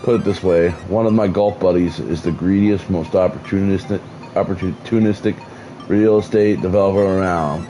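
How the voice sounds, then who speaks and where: A wary townsman from a mystery game speaks in a low, dark tone, read aloud by a man in his own voice. He talks slowly and evenly, as if reciting game text. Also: natural voice, low-quality recording. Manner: read aloud flatly, slow.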